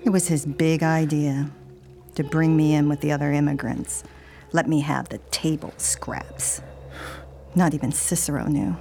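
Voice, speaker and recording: A woman's voice: low-pitched, fairly even intonation, a little slow, vocal fry, warm tone, a little hiss or hum. An actress playing a secret flesh-eating half-breed woman in a radio horror drama, wry, hungry, taunting and confiding. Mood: wry, confessing. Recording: music under the voice.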